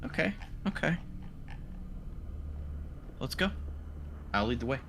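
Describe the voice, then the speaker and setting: A man's voice: mid-range voice, very animated, takes it slowly, creaky, fairly bright tone, a little hiss or hum. A man playing a tabletop roleplaying game, talking casually in and out of character.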